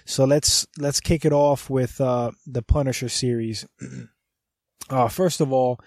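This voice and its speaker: A man hosting his podcast, congested from a slight cold, talking casually and enthusiastically with frequent swearing and throat clearing. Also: mid-range voice, steady tone, a little slow, darkish tone, no room sound, studio quality. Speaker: podcast host. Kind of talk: casual review monologue.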